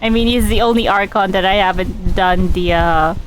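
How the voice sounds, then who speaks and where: A woman streaming a game, casually commenting and reading dialogue choices in an amused, halting way. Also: mid-range voice, a little hiss or hum, poor audio.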